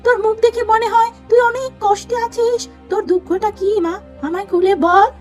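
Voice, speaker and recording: A woman's voice: very high-pitched, fairly quick, a little mellow, faint music behind, pitched above the performer's own voice. A woman voicing a frail, motherly old beggar woman in a cartoon fairy tale, with a wailing, pleading manner. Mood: tender, concerned. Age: older.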